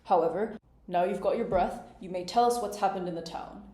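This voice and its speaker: A woman's voice: mid-range voice, fairly quick, mellow, echoey room, natural voice. A woman voicing a fussy, pompous, easily alarmed king from a storybook, with emphatic, querulous delivery. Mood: pompous, matter-of-fact. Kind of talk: character voice in storybook reading.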